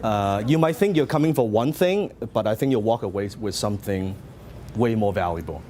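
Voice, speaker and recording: Lively man with a Hong Kong accent. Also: fairly low pitch, moderate pace. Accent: Hong Kong Cantonese. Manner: lively, interactive, asks the audience questions. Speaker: seminar speaker. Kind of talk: live seminar talk to an audience.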